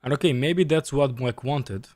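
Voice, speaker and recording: A man's voice: medium pitch, normal speed, a little mellow, dry recording. A man in his twenties chatting casually and commentating as a chess streamer, often repeating short phrases. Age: 20s. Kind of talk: casual livestream chat and game commentary.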